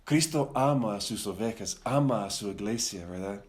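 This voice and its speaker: A man's voice: fairly low pitch, moderate pace. A middle-aged man who learned Spanish as a second language, leading a Bible study for church leaders in a casual, rambling way, often repeating words and asking for agreement. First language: not Spanish. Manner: chatty, rambling, with frequent tag questions and repeated words. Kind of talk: casual, conversational teaching.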